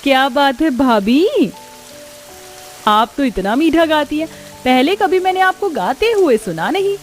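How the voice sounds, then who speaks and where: A woman's voice: sits high, sing-song, unhurried, faint music behind, pitched above the performer's own voice. A woman voicing a playful, chirpy young sister-in-law who loves food, in a cartoon story. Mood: admiring. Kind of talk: Hindi cartoon story dialogue.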